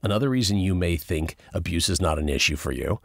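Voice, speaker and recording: Low, gravelly male audiobook narrator. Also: animated, dry recording, studio quality. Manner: measured, explanatory, addressing the listener directly. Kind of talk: scripted nonfiction audiobook narration.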